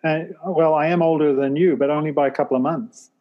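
A man's voice: fairly high pitch, steady tone, quick pace, highs cut off. A man in his late fifties hosting a podcast, asking questions in a chatty, hesitant way and laughing easily. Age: 50s. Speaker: podcast host. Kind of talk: casual interview chat.

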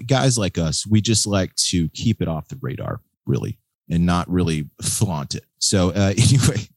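An American man hosting a podcast in casual, slangy chat, with a husky voice and a laid-back manner. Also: low-pitched, expressive, moderate pace, no echo. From United States. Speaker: podcast host. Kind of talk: casual chat about motor racing.

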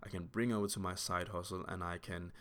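Deep-voiced young man musing on a podcast. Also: steady tone, brisk, a bit bright. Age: 20s. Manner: earnest, reflective, many fillers and restarts. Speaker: podcast host. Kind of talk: solo podcast monologue.

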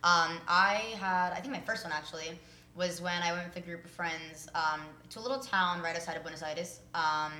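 Fast-talking bright-voiced young woman, echoey room. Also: fairly low pitch, fairly even intonation. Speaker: conversation participant. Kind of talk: casual anecdotal chat. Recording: echoey room, faint background noise.

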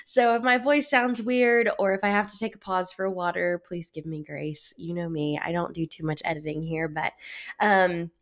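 A woman's voice: fairly low pitch, fairly even intonation, normal speed. A young adult woman hosting her own solo podcast, speaking with a head cold, reflective and encouraging, with fillers and restarts. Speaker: podcast host.

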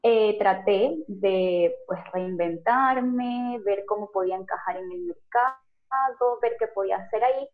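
A Venezuelan woman, a pharmacist, telling her story as a guest in a conversational interview. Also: medium pitch. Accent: Venezuelan Spanish. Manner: fluent, lively storytelling with laughs. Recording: narrow phone-like video call audio.

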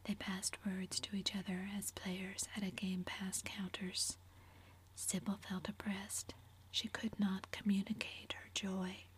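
A woman narrating a classic novel entirely in a soft, unvoiced whisper. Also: mid-range voice, slight background noise. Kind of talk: whispered audiobook reading.

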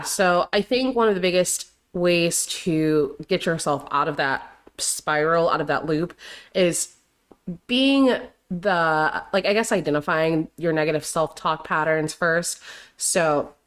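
Young woman podcasting solo, pretty low voice, bit raspy, rambly with lots of um and like. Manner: rambling, heavy on fillers and "like". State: slightly raspy voice. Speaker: podcast host. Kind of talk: casual solo podcast talk.

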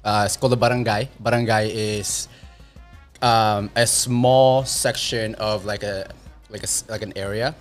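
A man in his thirties from the Philippines tells stories in a relaxed, meandering way, often restarting his sentences. His voice sits low. Faint music plays underneath. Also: unhurried. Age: 30s. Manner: rambling, self-correcting storyteller. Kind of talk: casual chat.

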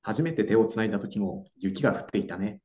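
Calm low-voiced young man, warm tone. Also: quick pace. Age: young adult. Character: Naoto, young man staying in his hometown. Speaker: radio drama actor. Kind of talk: slow clear radio drama acting for learners. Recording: dull lo-fi audio.

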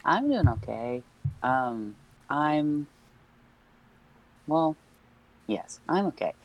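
A man's voice: a little high, animated, drawn-out words, muffled recording. A young man co-hosting a podcast in casual chat, rambling with many fillers and nervous laughs. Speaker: podcast co-host.

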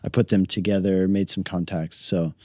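Low-voiced easygoing pastor. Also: male voice, little pitch movement, moderate pace, dark tone, no room sound, studio quality. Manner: easygoing, brief answers. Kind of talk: casual interview chat.

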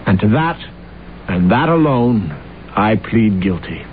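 An actor playing a boastful, ambitious young clerk with a grand, flattering manner, in old-time radio drama style. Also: male voice, on the low side, takes it slowly. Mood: earnest pleading. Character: boastful ambitious young man, a smooth-talking law clerk courting a lady. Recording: tinny, noisy vintage radio recording.

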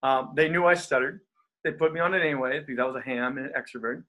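A man's voice: medium pitch, quick pace, low-quality recording. A man who is a pastor and coach, speaking as an interview guest with energy and easy laughter, who has a stutter. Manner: energetic, animated, laughs easily. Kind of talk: interview guest, casual talk.

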